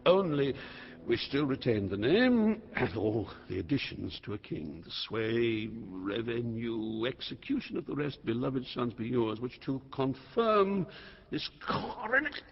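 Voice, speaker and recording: A man's voice: medium pitch, big pitch swings, unhurried, vocal fry, husky, exaggerated voice. Imperious old king, classical stage drama, wide swooping delivery, commanding, muffled hall reverb. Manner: commanding, grandiose declamation. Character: proud, wrathful old king, imperious and rash. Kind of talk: classical Shakespearean stage acting.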